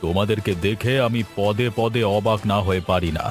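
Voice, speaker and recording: A man's voice: fairly low pitch, steady tone, fairly quick, lightly acted. A man playing a stern village landowner in a fairy-tale cartoon, speaking with authority and reproach as he passes judgment. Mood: reproachful. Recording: music behind the voice. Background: background music.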